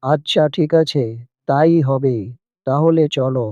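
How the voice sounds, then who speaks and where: A man's voice: a little high, fairly even intonation, slow, dark tone, no room sound. A man playing a kindly, aggrieved holy man in a cartoon fable, earnest and pleading, in slightly dull audio.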